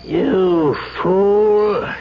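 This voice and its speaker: An actor playing a cold, murderous rival trader, taunting and threatening, in a 1970s radio drama. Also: male voice, sits high, fairly even intonation, extremely slow, creaky, over music, full character voice. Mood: gloating menace. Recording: dull vintage radio with background insects.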